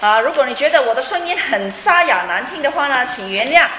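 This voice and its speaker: A woman's voice: sits high, animated, quick pace, bright, forceful tone, slight background noise. A woman interpreting a sermon phrase by phrase, fluent but with frequent fillers and light laughs. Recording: echoey room.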